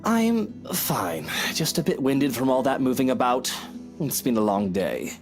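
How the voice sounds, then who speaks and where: A smug, imperious lord of the manor for a visual novel game. He is curt and commanding, with a lively melodic range, over prominent music. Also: medium pitch, unhurried. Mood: weary, insistent. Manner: haughty, commanding, clipped. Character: arrogant, smug male mansion owner, curt and jealous.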